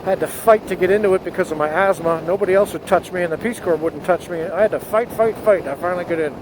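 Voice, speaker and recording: Motovlogger, male, high voice, earnest and fervent, helmet mic with wind noise. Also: fast talker. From United States. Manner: earnest, rising to patriotic fervor. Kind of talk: spoken essay to camera. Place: on a motorcycle outdoors. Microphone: helmet headset mic.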